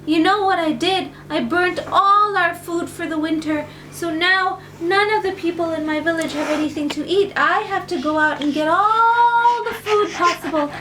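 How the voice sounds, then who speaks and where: A woman's voice: very high-pitched, moderate pace, few pauses, pushed and bright, slight background noise, voice pushed up. A woman voicing a dramatic, earnest young hero of a bedtime story, plaintive and full of exclamations. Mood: distressed.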